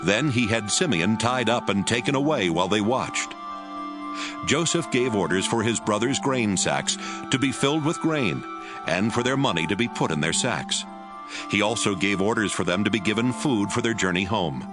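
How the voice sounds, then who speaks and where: Low-pitched male narrator over music. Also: lively intonation, moderate pace. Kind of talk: scripted dramatic Bible narration.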